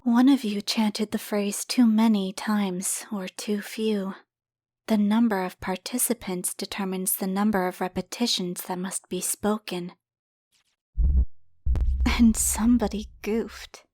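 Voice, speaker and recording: Slow, eerie ghost girl, acted by a woman. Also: mid-range voice, steady tone, darkish tone, natural voice. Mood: ominous, cryptic. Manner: slow, measured, breathy whispering delivery, ominous. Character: eerie ghost of a dead girl, cryptic, coldly taunting. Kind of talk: dramatic video game dub acting. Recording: background music under the voice.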